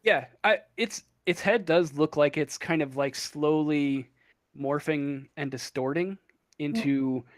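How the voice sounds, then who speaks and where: A man with a fairly high voice runs a tabletop game, improvising descriptions. He speaks slowly and haltingly, searching for the next word. The sound is close and dry. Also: dry recording. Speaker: game master.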